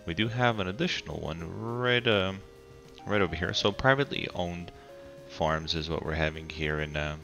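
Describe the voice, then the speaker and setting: A man, raspy from a cold, giving relaxed, halting commentary over a city-building game and often pausing with ums. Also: sits low, soft background music. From Colorado. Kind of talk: casual gameplay commentary.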